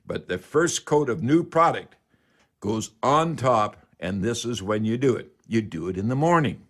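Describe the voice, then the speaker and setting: A man's voice: medium pitch, lively intonation, moderate pace. A man hosting a radio call-in show, giving unscripted, instructive how-to advice and repeating key phrases for emphasis.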